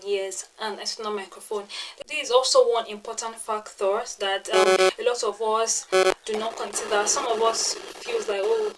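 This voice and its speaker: A young woman with a Nigerian English accent talks a beginner through video tips in a relaxed, rambling way. She repeats key lines and fills pauses with ums, and her voice sits at an ordinary middle pitch. She records indoors in a plain room on a small external mic. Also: rough recording. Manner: chatty, repeats herself for emphasis, frequent ums. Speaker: tech and lifestyle YouTuber. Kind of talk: casual tutorial to camera. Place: plain-walled bedroom, indoors. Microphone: small external clip-on mic.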